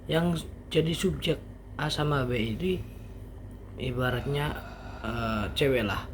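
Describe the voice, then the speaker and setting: A man giving an informal language lesson as a teacher, explaining haltingly step by step with casual asides. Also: mid-range voice. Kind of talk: informal online grammar lesson. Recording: faint background noise.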